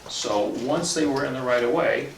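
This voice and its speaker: A man speaking as a town official in a public meeting, in a halting, deliberate but firm manner. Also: mid-range voice. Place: indoor public meeting room. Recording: distant mic, echoey meeting room, clear background noise.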